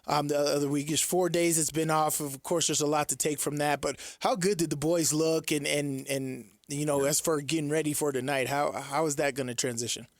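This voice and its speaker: A man's voice: fairly high pitch, fairly even intonation, brisk, few pauses, no room sound, clean studio sound. A man co-hosting a sports radio show in a casual, choppy way, repeating words and phrases.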